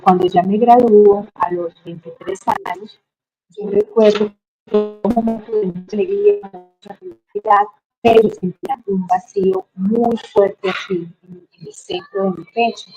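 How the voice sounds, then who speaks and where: A middle-aged woman with a Colombian Spanish accent tells her life story as an interview guest, reflective and unhurried, with many hesitations. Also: medium pitch, a little mellow, highs cut off.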